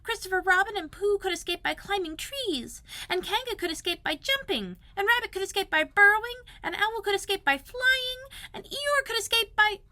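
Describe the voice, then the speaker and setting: A woman voicing a very small, timid piglet who is anxious and wistful, in a squeaky, plaintive storybook manner. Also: moderate pace, few pauses, pushed and bright. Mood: anxious, helpless.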